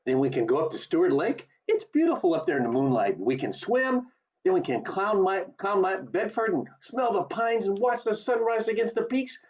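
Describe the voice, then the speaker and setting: A man playing a restless, eager young small-town man with big dreams in an amateur radio play, earnest and expressive. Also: high voice, normal speed. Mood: eager, romantic. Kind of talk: community theatre radio play acting. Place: remote video call. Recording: dull lo-fi video call audio.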